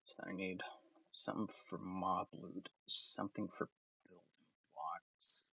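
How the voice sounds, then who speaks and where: A man's voice: deep voice, little pitch movement, slow pace, gravelly, bright, forceful tone, husky, no echo, low-quality recording. A man giving casual commentary while playing a game, thinking aloud as he goes. His voice is starting to tire. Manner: thinks aloud, meandering and self-talking. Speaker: let's play gamer.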